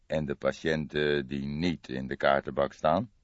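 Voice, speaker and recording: A man voicing a kindly but insistent police detective in an audiobook, questioning in a measured way. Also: a bass voice, flat delivery, no room sound, dull audio. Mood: calmly probing.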